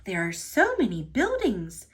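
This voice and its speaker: A woman's voice: medium pitch, huge pitch swings, unhurried, husky, exaggerated voice. A woman voicing an eager, wide-eyed young male steam engine in a children's storybook. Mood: amazed, excited.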